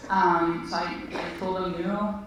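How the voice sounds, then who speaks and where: A woman's voice: fairly low pitch, level tone, unhurried, a bit bright. A woman physician, telling her story earnestly and reflectively in a conversation. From Israel. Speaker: physician guest. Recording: echoey room, distant mic, faint noise.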